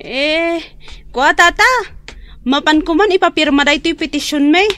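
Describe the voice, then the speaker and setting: A woman's voice: high-pitched, moderate pace, sharp tone. A woman playing an indignant young single neighbour with an Ilocano accent in a comedy radio drama. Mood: determined.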